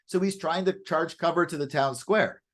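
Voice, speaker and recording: A man co-hosting a casual current affairs chat, often repeating and rephrasing himself in a halting way. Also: a little high, fairly bright tone. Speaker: podcast co-host and writer.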